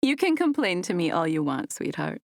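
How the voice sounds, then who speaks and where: A woman voicing a patient, gentle mother with a soft, soothing manner in an audiobook. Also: fairly low pitch, very animated, normal speed, vocal fry, dry recording, clean studio sound. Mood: tender.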